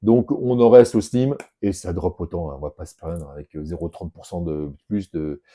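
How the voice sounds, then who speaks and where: Chatty man, dark low voice. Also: normal speed. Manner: jokey, chatty, rambling with fillers. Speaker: shop co-host opening card packs. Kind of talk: casual unboxing chat.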